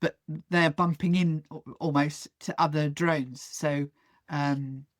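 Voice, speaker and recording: A woman's voice: low voice, fairly even intonation, takes it slowly, no room sound, studio quality. A woman hosting a podcast, asking questions in a chatty, hesitant way. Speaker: podcast host. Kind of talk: interview questions.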